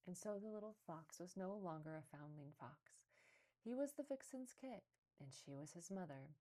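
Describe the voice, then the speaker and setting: A woman's voice: fairly low pitch, animated, normal speed, dark tone. A woman narrating a children's story, reading aloud from a storybook. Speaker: storybook reader.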